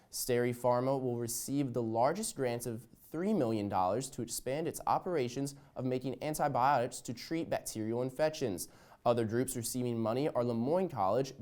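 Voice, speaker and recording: A young man anchoring a student newscast, reading the stories in a steady, even manner. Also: medium pitch. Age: young adult. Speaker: news anchor. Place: student TV studio.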